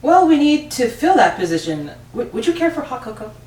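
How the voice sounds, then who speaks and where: A woman's voice: on the high side, very animated, fairly quick, a little mellow. A woman playing a friendly, chatty factory boss in an amateur table read, talking in an upbeat, rambling way. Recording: roomy, distant mic, faint noise.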